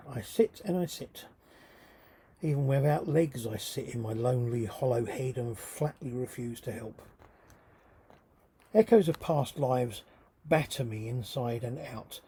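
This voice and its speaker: A man with a husky, rough-edged voice reading his own writing as a theatrical, brooding spoken-word monologue, delivered deliberately and dramatically. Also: medium pitch, dark tone, slight background noise. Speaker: writer reading own work.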